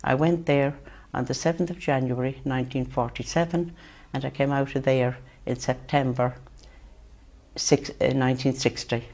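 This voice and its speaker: An older woman giving a quiet, measured interview in a sitting room. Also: very deep voice, steady tone. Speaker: interviewee, former laundry worker. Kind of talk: interview testimony.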